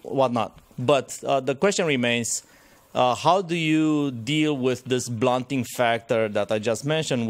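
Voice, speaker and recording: A hoarse man in the audience of a technical conference, asking a long, challenging question, full of fillers and restarts. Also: mid-range voice.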